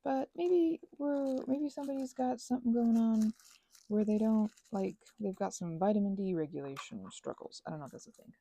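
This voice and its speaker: A woman's voice: on the high side, moderate pace, creaky, dark tone. A woman chatting casually while she does crafts, whimsically interrupting herself and talking to herself and to objects. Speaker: craft and ASMR video creator.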